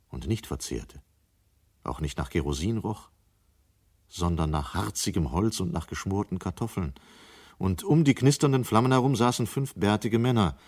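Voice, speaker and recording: A male actor narrating a dystopian science fiction radio play in a grave, measured, literary manner. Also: deep voice, a little mellow. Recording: 1970 radio play studio recording.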